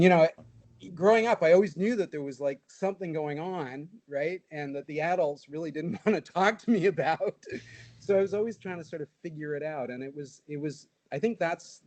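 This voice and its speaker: A man in his sixties, from Southeast Asia, speaking haltingly with many fillers in a casual panel discussion. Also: fairly high pitch, a little mellow, no room sound. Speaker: guest, former intelligence analyst.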